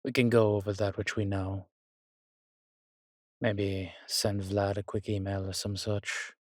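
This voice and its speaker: A man's voice: fairly low pitch, little pitch movement, a little slow, no room sound, studio quality, lightly acted. A man playing a suave, weary vampire with courtly, fond phrasing in an intimate roleplay audio drama. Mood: weary, tender. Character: weary, suave male vampire, protective and darkly charming.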